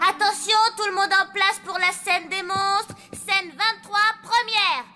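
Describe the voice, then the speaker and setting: A very high-voiced cartoon child, excitable, bossy and easily worried. The delivery is emphatic and dramatic, over a clear music bed. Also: female voice, unhurried. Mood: bossy, excited. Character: excitable young child amateur film director, bossy and anxious. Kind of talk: French animated cartoon dub.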